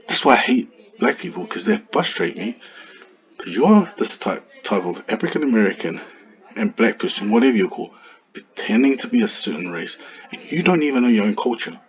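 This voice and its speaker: A man's voice: medium pitch, sing-song, moderate pace. A man arguing back at a commenter in a heated monologue, firing off rhetorical questions. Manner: argumentative, full of rhetorical questions. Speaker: vlogger replying to a commenter. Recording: dull lo-fi recording.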